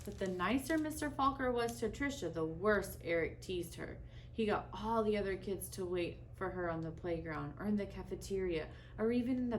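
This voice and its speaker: A woman reads a children's story aloud over faint background noise. Her voice sits at a middle pitch, and she leans on key words with deliberate, dramatic pauses. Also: low-quality recording.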